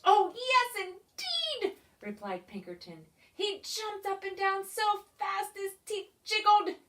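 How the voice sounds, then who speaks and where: A woman reading a children's storybook aloud as an expressive narrator. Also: very high-pitched, measured pace, distant mic. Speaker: storybook reader.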